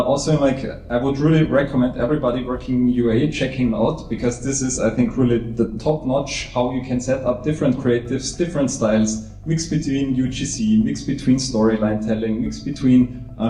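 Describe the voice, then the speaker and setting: A man's voice: medium pitch, a little fast, hardly any pauses, dark tone. A man from Austria with an Austrian German accent, a native German speaker speaking on a conference panel in a chatty way, often tagging his remarks with "yeah" and "you know.". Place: conference stage, roomy hall. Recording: roomy, distant live panel recording with faint music.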